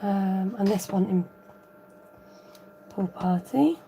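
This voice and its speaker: A woman hosting a live card-making tutorial, thinking aloud as she works, chatting with viewers and reading out their comments. Her voice is a little croaky. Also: mid-range voice, slow pace, warm tone, slight background noise. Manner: rambling, thinks aloud while working. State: slightly croaky voice. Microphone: overhead camera above a craft table, voice from off-frame.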